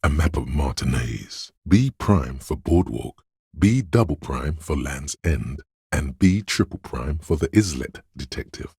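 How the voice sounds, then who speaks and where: Very deep, deadpan forensic inner voice. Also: male voice, vocal fry, breathy edge, no room sound, clean studio sound, exaggerated voice. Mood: clinical, precise. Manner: measured, deliberate, dryly deadpan. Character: analytical inner voice of visual forensics, male, deadpan, precise. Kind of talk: scripted game narration, second person.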